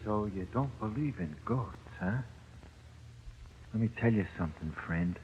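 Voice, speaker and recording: Cocky, slangy small-time crook, muffled. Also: male voice, lowish voice, animated, unhurried, a little hiss or hum. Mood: cocky, confiding. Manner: slangy, ungrammatical tough-guy talk. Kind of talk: 1940s radio crime drama acting. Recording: muffled 1940s radio recording.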